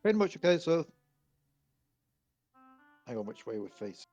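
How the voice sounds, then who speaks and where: A woman's voice: sits low, sing-song, moderate pace, warm tone, no echo, muffled recording. A woman talking casually as a player in a tabletop roleplaying game. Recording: music under the voice. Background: instrumental music.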